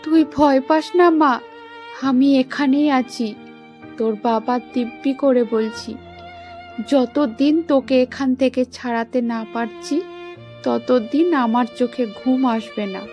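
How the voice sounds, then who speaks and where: A woman acts as a determined young mother in a cartoon tale. Her voice is very high and earnest, full of melodramatic anguish, with soft music behind it. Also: fairly even intonation, measured pace. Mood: protective, resolute. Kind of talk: animated story dialogue.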